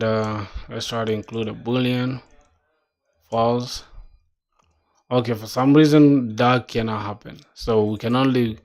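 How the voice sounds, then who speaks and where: A man's voice: medium pitch, a little mellow. A young man from South Sudan, a self-taught programmer, thinking aloud while he codes, reading documentation and talking casually. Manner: casual, musing, reads docs aloud, says "bro".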